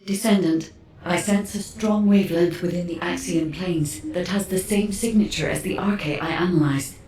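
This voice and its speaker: A woman's voice: fairly low pitch, normal speed, darkish tone, lightly acted. A woman playing a composed guide giving a report in a video game. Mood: composed, alert. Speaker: video game character actor. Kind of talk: video game mission dialogue. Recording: echoey, distant, faint background noise.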